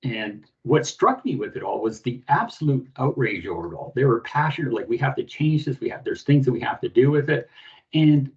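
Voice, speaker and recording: A man's voice: mid-range voice, animated, fairly quick, a little mellow, lo-fi sound. A Canadian man hosting a podcast over a video call, earnest and full of restarts as he walks through statistics. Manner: earnest, restarts often, many fillers. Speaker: podcast host.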